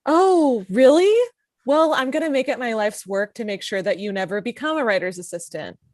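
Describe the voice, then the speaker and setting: A woman's voice: on the high side, lively intonation, moderate pace, no echo, close to an everyday voice. Woman acting scornful TV executive, dry close sound. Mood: indignant. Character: scornful, vindictive female television network executive. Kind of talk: casual comedy script table read.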